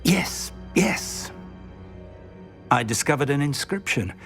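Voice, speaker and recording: Earnest professor of wizardry, higher-pitched, lively swings, dramatic, unhurried. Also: male voice, gravelly, soft background music, exaggerated voice. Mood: grave urgency. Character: earnest male wizard professor, concerned and excitable. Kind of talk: cinematic video game acting.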